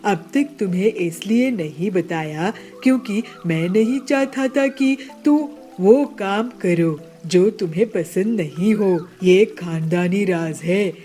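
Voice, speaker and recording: A woman doing a slow, gentle old grandpa bird. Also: mid-range voice, soft background music, natural voice.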